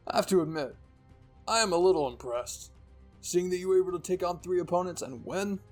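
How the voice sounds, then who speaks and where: A man's voice: high voice, a little fast, faint music behind, voice pushed up, natural voice. A man voicing a stern, intimidating military commander in a fan-fiction reading, demanding and menacing. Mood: menacing challenge. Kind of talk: dramatic audiobook fan-fiction acting.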